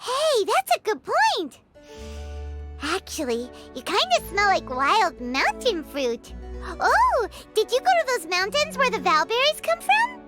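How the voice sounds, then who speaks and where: A woman's voice: extremely high pitch, wildly swooping pitch, unhurried, over music, exaggerated voice. A woman voicing a small, childlike female fairy companion in a video game, bubbly, chatty and curious. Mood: excited. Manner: bubbly, exclaiming, speaks of self in third person. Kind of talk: video game character dialogue.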